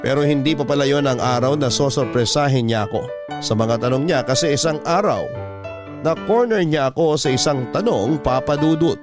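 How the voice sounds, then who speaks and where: Male story narrator over clear music. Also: mid-range voice, normal speed. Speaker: radio drama host and narrator. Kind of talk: scripted first-person story narration. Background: background music.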